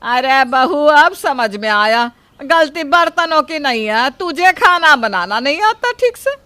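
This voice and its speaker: A high, bright older mother-in-law, acted by a woman in a moral story. She is motherly but quick to scold, stretching her words theatrically in a very lively, swooping voice. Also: dry recording, full character voice. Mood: exasperated. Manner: emphatic, drawn-out, theatrical.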